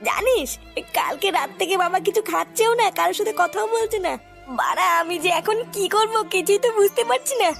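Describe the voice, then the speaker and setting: A woman voicing an excitable, cheeky cartoon son with a whiny, exclamatory delivery. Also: very high-pitched, brisk, gravelly, full character voice. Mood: worried. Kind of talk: comic cartoon dialogue. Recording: faint music behind the voice.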